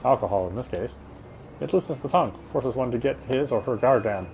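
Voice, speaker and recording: A man reading a game's first-person story text aloud in a dramatic, brooding, expressive way. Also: mid-range voice, fairly quick, a little hiss or hum. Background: game music.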